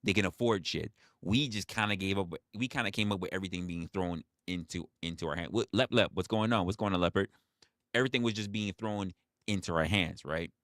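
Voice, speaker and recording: A man in his forties holds forth on a casual livestream in a low, heavy voice. He leans hard on his points, repeats phrases and swears freely. The sound is dry and close, with no room around it. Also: a little fast, fairly bright tone, no room sound. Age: 40s. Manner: emphatic, repeats phrases, profane. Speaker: livestream host.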